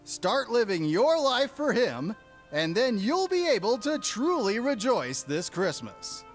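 High animated man as a radio DJ. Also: unhurried, faint music behind. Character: upbeat male radio DJ puppet. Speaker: radio DJ character in puppet show. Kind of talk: scripted radio-style preaching to children.

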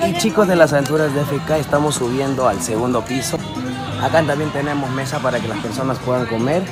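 A man's voice: medium pitch, quick pace, a loud crowd. A man touring a restaurant for his vlog in a cheerful, chatty way, talking to viewers. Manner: upbeat, keeps addressing viewers as "chicos". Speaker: vlogger. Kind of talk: casual restaurant tour vlog. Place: busy outdoor restaurant terrace at night. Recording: loud background music.

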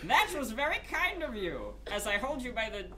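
A woman's voice: a little high, very animated, moderate pace. A woman performing in a live comedic fandub, talking in a prim, mock-polite way. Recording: loud convention crowd behind.

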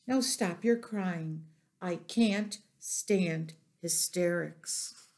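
A woman voicing a composed, motherly female spider in a storybook, brisk and firm. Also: fairly low pitch, a little mellow, a little hiss or hum. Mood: brisk, reassuring.

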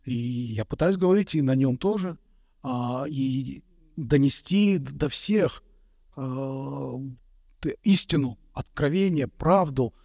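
A man in his thirties, an Orthodox monk from Kazakhstan, answering interview questions slowly and thoughtfully, with many pauses, into a studio mic. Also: mid-range voice, creaky, darkish tone, no room sound. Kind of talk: calm reflective interview answers. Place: bright indoor room, interview set. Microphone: studio mic on a boom in front of him.

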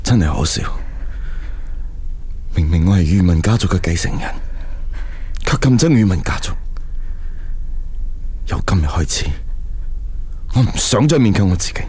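A man playing a bitter, scornful young heir in a radio drama, speaking harshly and emphatically in a husky voice. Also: low voice, expressive, moderate pace, long pauses, darkish tone. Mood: angry, scornful.

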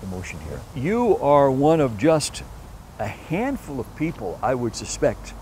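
A man's voice: mid-range voice, huge pitch swings, unhurried, darkish tone, a little hiss or hum. A man in his sixties hosting an outdoor interview, prompting his guest and echoing him with short affirmations. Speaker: interview host. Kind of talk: conversational interview. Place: outdoors on palm-lined grounds by a church.

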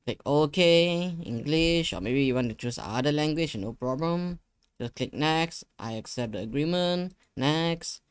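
A young man giving a casual software tutorial, talking himself through each step in an informal, rambling way. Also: on the high side, expressive, unhurried, clean tone, no echo. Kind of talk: casual screen-share tutorial. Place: indoor living room gaming setup. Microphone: close desktop USB microphone.

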